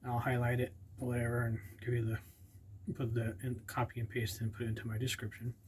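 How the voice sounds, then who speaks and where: A man's voice: medium pitch, flat delivery, brisk, a little mellow, a little hiss or hum. A man casually vlogging, partly reading aloud, rambling and unsure with many fillers and self-corrections.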